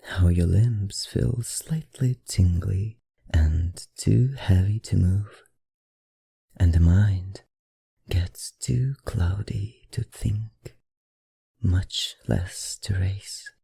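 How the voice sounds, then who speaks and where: A performer voicing a seductive, teasing vampire librarian in an ASMR roleplay, with a put-on foreign accent, breathy and husky, often whispering, in slow, soothing, formal speech. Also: low-pitched, mellow, no echo, heavily acted. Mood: hypnotic, soothing.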